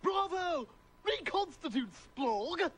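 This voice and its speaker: High, gushing alien prince. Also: animated, slow pace, noisy background, exaggerated voice. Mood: delighted. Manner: posh, gushing, old-fashioned British idioms. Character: pampered, enthusiastic alien prince with plummy exclamations. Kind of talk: cartoon voice acting.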